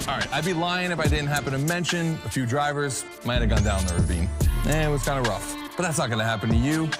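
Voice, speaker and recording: A man's voice: medium pitch, animated, fast talker, close to an everyday voice. An actor playing a playful, cheeky stunt-driving host in a video game, talking casually and enthusiastically as he coaches. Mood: upbeat briefing. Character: hyped, playful male stunt-driving event host, devoted car lover. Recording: loud music behind. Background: loud music, car engines.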